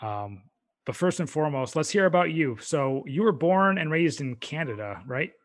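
A man's voice: a little high, lively intonation, moderate pace. A man hosting a podcast, casual and friendly, with many fillers and restarts. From United States. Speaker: podcast host. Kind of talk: casual interview chat.

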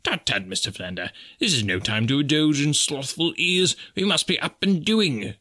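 A man's voice: fairly high pitch, expressive, moderate pace, bright, forceful tone, pitched above the performer's own voice. A man voicing a pompous, absent-minded old professor in an audiobook story, fussy and pedantic, repeating himself. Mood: brisk, scolding. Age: older.

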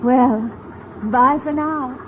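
A woman's voice: high voice, very slow. An actress playing a coy young traveling saleswoman in classic radio comedy, with hesitant, demure delivery. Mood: coy, flattered. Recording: noisy, narrow-band vintage radio recording.

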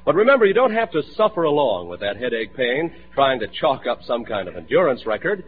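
A man's voice: mid-range voice, big pitch swings, fairly quick, breathy edge. An American man announcing a commercial in 1940s radio style, with a hearty, persuasive sales delivery. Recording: tinny, thin vintage radio recording.

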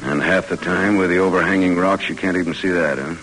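A man plays a stoic army major leading an expedition in an old radio drama. His voice is extremely deep and his phrasing clipped and terse, like journal entries. Faint music sits in the vintage recording. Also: fairly even intonation, a little fast, close to an everyday voice, low-quality recording. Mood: quiet, reserved.

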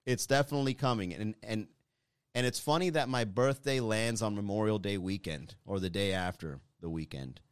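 A man's voice: lowish voice, moderate pace, clear, resonant tone, dry recording, studio quality. A man in his thirties, a comedian chatting casually to his online viewers in a rambling, self-deprecating way. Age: 30s. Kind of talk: casual monologue to an online audience.

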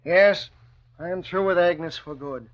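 An actor playing a bitter, aggrieved middle-aged husband with an emphatic, resentful manner, in a vintage radio drama. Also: male voice, high-pitched, unhurried. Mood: bitter, determined. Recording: muffled old radio recording.

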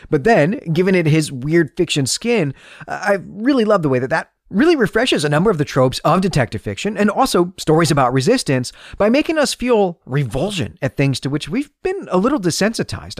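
Guy doing a book analysis podcast, really lively up and down pitch, explains stuff, close dry recording. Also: no room sound. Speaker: podcast host. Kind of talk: literary analysis podcast monologue.

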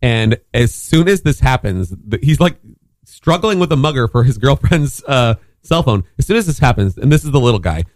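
A man's voice: medium pitch, expressive, moderate pace, no room sound. Rambling male storyteller, dry close mic. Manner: rambling with many fillers and restarts. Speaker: podcast co-host telling a story. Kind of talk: casual storytelling chat.

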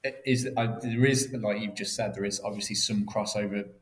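Male interviewer, lowish voice, polite, halting questions. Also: fairly even intonation.

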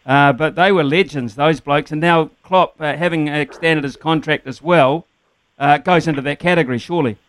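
A man's voice: on the high side, moderate pace, fairly bright tone. A man hosting a radio interview, asking rambling, conversational questions padded with fillers. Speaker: radio host.

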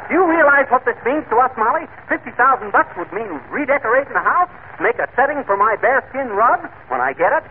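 A blustering, stammering husband. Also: male voice, extremely high pitch, fairly quick. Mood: excited. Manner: excitable, stammering, mangles big words. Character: blustering boastful middle-aged husband McGee. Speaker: comic lead. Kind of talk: 1940s radio comedy acting. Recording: tinny old radio broadcast, faint hiss.

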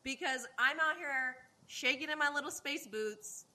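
A woman hosting a casual interview, with a Portuguese-influenced accent, speaking in an animated, emphatic way. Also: high voice, measured pace. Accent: Portuguese-influenced American English. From Northern California. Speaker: podcast host.

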